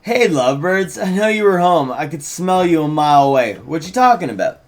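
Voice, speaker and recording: Man reading as a sardonic teenage girl, animated. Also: a little high, brisk, voice pushed up. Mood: teasing. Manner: sardonic, slangy. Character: cynical teasing teenage girl in a fantasy script.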